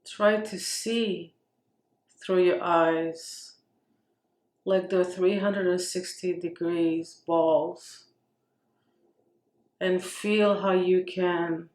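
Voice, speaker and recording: Lady doing guided meditation, super slow and gentle, long pauses, roomy echo. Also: lowish voice, fairly even intonation. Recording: roomy, echoing space.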